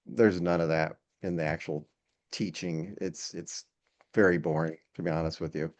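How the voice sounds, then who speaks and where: Low-voiced man talking haltingly. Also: fairly even intonation. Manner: hesitant, halting, repeats words. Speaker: podcast guest, air traffic control instructor. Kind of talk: casual interview conversation. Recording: dull, lo-fi sound.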